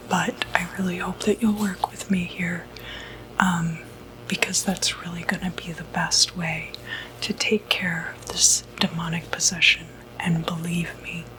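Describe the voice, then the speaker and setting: Casting: female, breathy whisper, unhurried, gentle non-judgmental doctor for ASMR medical roleplay, slightly low pitch. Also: a little hiss or hum, rough recording. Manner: gentle, reassuring, hesitant bedside talk. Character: sympathetic, non-judgmental doctor who treats demonic possession.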